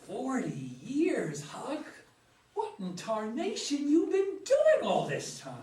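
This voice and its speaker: A man's voice: very high-pitched, wildly swooping pitch, slow, a little mellow, a little hiss or hum, exaggerated voice. A man acting a feisty older rural American in a play reading, folksy and exclamatory, with an American accent. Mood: jovial, teasing. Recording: distant mic in echoey room.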